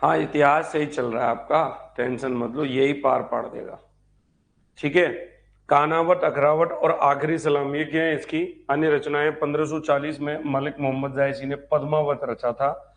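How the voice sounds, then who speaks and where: A man's voice: fairly high pitch, little pitch movement, moderate pace, vocal fry. A man giving an informal online history lecture as a teacher, repeating key points and asking check questions. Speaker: history teacher for exam preparation.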